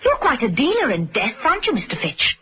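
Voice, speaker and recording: A woman's voice: fairly high pitch, wildly swooping pitch, brisk, pushed and bright, full character voice. An actress playing a cool, taunting woman in a radio drama. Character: cool, taunting woman facing her killer. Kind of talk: vintage radio drama acting.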